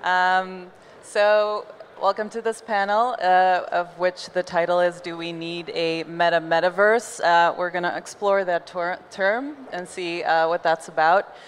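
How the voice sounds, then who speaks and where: A woman's voice: fairly low pitch, flat delivery, measured pace, few pauses, a little hiss or hum. A woman in her thirties from Belgium with an American accent, moderating a conference panel on a large stage into a handheld mic, loose and casual with many fillers. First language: not English.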